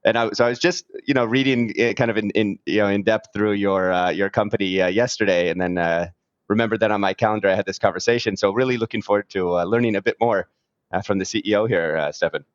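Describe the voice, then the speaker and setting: A man's voice: on the low side, steady tone, quick pace, dry recording, highs cut off. A man hosting an interview podcast, talking casually with many fillers. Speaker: podcast host.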